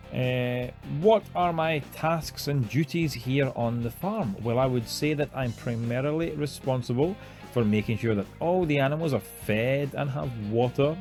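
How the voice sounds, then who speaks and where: A man's voice: mid-range voice, a little mellow. A man in his thirties from Glasgow with a Scottish accent, talking casually to camera as a farm vlog host, self-deprecating and full of ums and uhs. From Glasgow, Scotland. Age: 30s.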